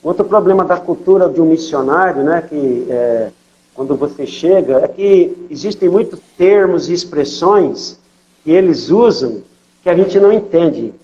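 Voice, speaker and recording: An older man with a São Paulo accent tells his missionary stories in a relaxed, conversational way, dropping in filler words and checking in with his listener. His voice sits a little high for a man, and the recording sounds dull and lo-fi. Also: moderate pace. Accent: São Paulo Portuguese. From São Paulo, Brazil. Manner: storytelling, many fillers and tag questions. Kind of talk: conversational testimony interview.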